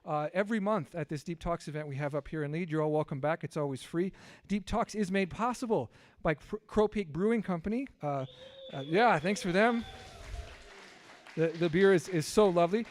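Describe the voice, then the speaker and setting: A man who works as a science communicator, hosting a public lecture evening and thanking the sponsors, speaking haltingly with many fillers. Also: sits high. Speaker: science communicator, event host. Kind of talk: event introduction and sponsor thanks. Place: lecture event hall.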